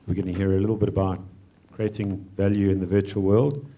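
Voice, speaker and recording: A man's voice: deep voice, flat delivery, a little fast, mellow. A man hosting an event, introducing the next speaker formally and courteously. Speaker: event host.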